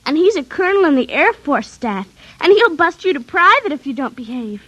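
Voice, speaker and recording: A woman playing a bossy, excitable little girl in an old radio drama, childlike and insistent. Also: high voice, fairly quick, no room sound, rough recording. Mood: bossy, playful. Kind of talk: 1950s radio acting.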